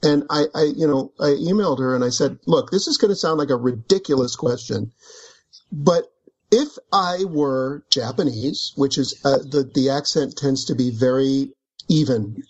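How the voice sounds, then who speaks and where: A man's voice: medium pitch, moderate pace, husky. A man, an author, chatting casually about his book, wandering off on tangents and laughing as he talks. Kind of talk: casual book club chat. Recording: dull lo-fi recording.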